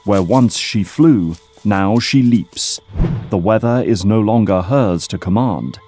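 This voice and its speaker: A man's voice: lowish voice, takes it slowly, warm tone. A man giving dramatic scripted narration for an audio drama. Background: dramatic music.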